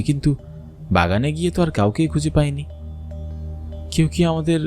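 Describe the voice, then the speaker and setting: A man plays a young village son recounting a horror cartoon tale. His delivery is suspenseful and expressive, with big swings in pitch, over background music. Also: natural voice. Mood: puzzled, uneasy. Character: Shahed, grown son narrating his eerie village ghost story. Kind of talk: dramatic scripted first-person horror narration and dialogue.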